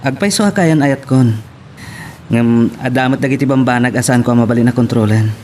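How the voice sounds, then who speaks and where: A man's voice: medium pitch, fairly quick, a little mellow, lightly acted. A man acting a good-humoured young farmer in love, easygoing, in an Ilocano audio drama. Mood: easygoing, resigned. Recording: noisy background.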